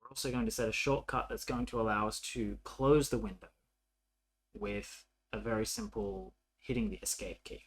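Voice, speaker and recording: A man narrating a coding tutorial casually, thinking aloud in an informal, halting way as he works. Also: mid-range voice, animated, creaky. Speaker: programming tutorial presenter.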